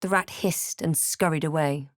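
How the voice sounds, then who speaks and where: A woman voicing a wry, observant adventurer heroine in a video game, speaking scripted lines and narration in a measured, understated way. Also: sits low, no echo, studio quality, natural voice. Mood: calm, reflective. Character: female adventurer hero, wry, observant, quietly guarded. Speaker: video game player character.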